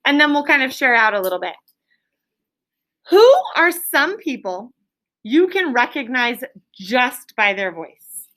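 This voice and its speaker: A woman teacher leading an interactive lesson for young children, encouraging them with praise and explaining in simple, deliberate terms. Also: high-pitched, very animated, fairly bright tone. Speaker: elementary school teacher. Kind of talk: interactive classroom lesson with questions. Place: classroom.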